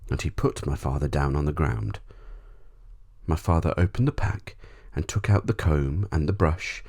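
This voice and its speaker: A man's voice: a bass voice, normal speed, a little mellow. A man narrating a bedtime story in an ASMR audiobook style.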